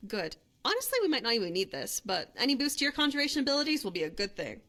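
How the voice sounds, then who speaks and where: A woman's voice: fairly high pitch, sing-song, quick pace, pushed and bright, full character voice. An actress voicing a young woman adventurer and hunter, a guilt-haunted but bold companion in a fantasy game, speaking in a natural, conversational way. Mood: casual, coaxing. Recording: music under the voice.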